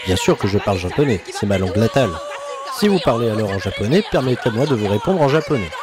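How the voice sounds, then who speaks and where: Give me a man putting on a pompous female wrestler trading insults, animated, over a roaring arena crowd. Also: on the low side, fast, pitched below the performer's own voice, natural voice. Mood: taunting. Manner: mock-formal, pompous politeness turning to childish taunts. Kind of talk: comic voice-over dub of a wrestling clip. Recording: loud arena crowd behind.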